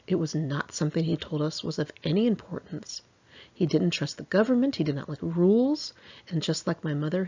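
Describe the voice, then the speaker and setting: A woman's voice: low voice, gravelly, darkish tone, breathy edge, muffled recording. An American woman reading her own memoir in a conversational, candid and wry, self-mocking way. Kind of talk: scripted audiobook narration, conversational.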